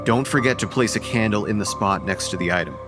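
A young man playing a bossy, practical college student in an audio horror drama, brisk and sardonic. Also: fairly low pitch, over music, natural voice. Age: young adult. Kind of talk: radio horror drama acting.

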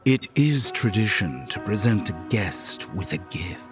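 Casting: eerie, polite nonhuman figure in horror audio drama, breathy low voice, formal delivery with swooping intonation. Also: male voice, very animated, over music. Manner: formal, slow and deliberate. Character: menacing, courteous inhuman man with a skull cup.

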